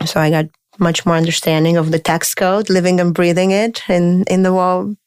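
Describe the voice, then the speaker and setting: A woman in her thirties from Moldova, a lawyer telling her story as a podcast guest in a measured, explanatory way with frequent restarts. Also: fairly low pitch, flat delivery, darkish tone, smooth, dry recording, studio quality. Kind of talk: podcast interview.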